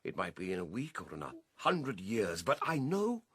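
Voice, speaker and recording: An actor playing an old boar, a hoarse and solemn visionary leader making speeches to farm animals in a radio drama. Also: male voice, fairly low pitch, huge pitch swings, fairly bright tone, no room sound, exaggerated voice. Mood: rousing, fervent. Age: older. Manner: solemn, rhetorical, deliberate.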